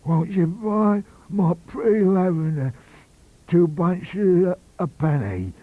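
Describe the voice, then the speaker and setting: A man's voice: sits high, lively intonation, takes it slowly, no room sound, narrow, tinny audio, voice pushed up, full character voice. A man voicing a proud, pathetic young street seller girl with a coarsened, plaintive voice, in an audiobook. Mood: plaintive hawking. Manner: plaintive street-cry delivery.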